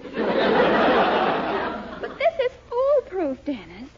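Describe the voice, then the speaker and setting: An excitable young sweetheart from 1940s radio comedy. Her very high, girlish voice is gushing and persuasive, heard through a muffled old broadcast. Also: drawn-out words, a faint crowd, exaggerated voice. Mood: excited, persuasive.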